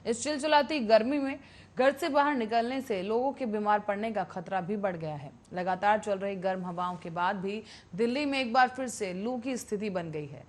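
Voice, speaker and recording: A woman's voice: medium pitch, moderate pace. A woman reading scripted news as a television anchor.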